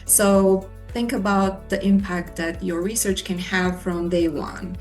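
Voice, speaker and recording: A woman's voice: mid-range voice, fairly even intonation, slow. A woman in her thirties from Croatia with a Croatian accent, an assistant professor earnestly explaining her research in a Q&A, with faint music behind. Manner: earnest, explanatory, lists points. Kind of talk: scripted Q&A monologue.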